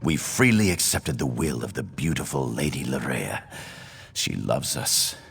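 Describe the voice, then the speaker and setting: An enthralled video game nobleman, courtly and effusive. His deep voice swings widely in pitch with devotion. It rings in a long reverberant hall, with music behind. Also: creaky, fairly bright tone, slightly rough, heavily acted. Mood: adoring. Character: enthralled nobleman, fawning devoted party host. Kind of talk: video game character acting.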